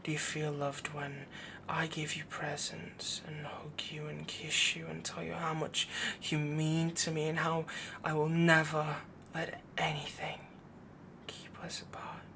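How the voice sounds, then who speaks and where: A man voicing a doting, possessive young wolf boy mate in a soft, intimate, breathy whisper for an ASMR boyfriend roleplay. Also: fairly high pitch, completely flat delivery, slight background noise, full character voice. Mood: adoring, possessive. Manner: slow, intimate, cooing, rambling devotion.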